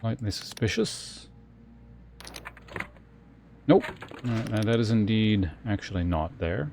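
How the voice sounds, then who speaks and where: Man, lowish voice, game streamer, casual commentary, thinking aloud in short mutters, light game ambience noise behind. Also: slow. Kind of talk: casual live gameplay commentary.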